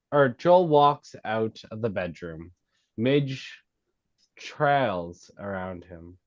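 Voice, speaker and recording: A man reading screenplay stage directions at a table read, halting and stumbling over words as he goes. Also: mid-range voice, darkish tone. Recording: slightly dull, lo-fi sound.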